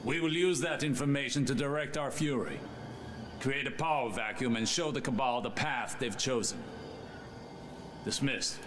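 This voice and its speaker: An actor playing a wise, guarded veteran mentor in a video game, speaking formally and in a measured way. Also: male voice, medium pitch, background noise, natural voice. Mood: resolute. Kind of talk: video game cutscene acting. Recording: loud game music underneath.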